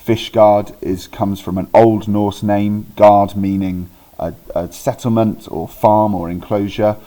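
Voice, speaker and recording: A man's voice: fairly low pitch, flat delivery, takes it slowly, warm tone. A man from Wales, teaching history in a casual way, with many ums and restarts and the odd correction of himself. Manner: chatty, self-correcting, with frequent ums and restarts. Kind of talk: informal recorded history lesson.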